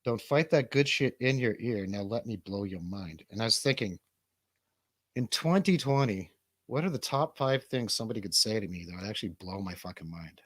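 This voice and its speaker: A man's voice: on the low side, lively intonation, normal speed, fairly bright tone, dry recording. A man hosting a livestream radio show with casual, comedic chat, rambling and swearing irreverently.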